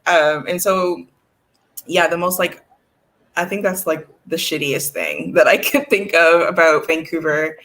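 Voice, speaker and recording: A woman's voice: low-pitched, unhurried. A woman from Toronto with a Canadian accent, talking casually and candidly as an interview guest, often saying "like" and acting out what others say. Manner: candid, animated quoting of others, frequent "like". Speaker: guest, artist and curator. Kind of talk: casual conversational storytelling.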